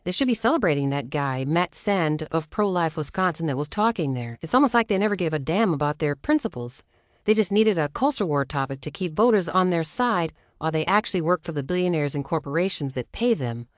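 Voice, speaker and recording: Woman news anchor doing scripted commentary, lowish voice, really dry close sound. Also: expressive, brisk, no echo.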